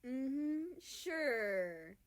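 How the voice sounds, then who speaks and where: A woman's voice: sits high, very animated, extremely slow, pitched above the performer's own voice, heavily acted. Lady doing a teasing playful dude, bit of a drawl, read-aloud story voice. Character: teasing, playful friend, a male character. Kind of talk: expressive story reading.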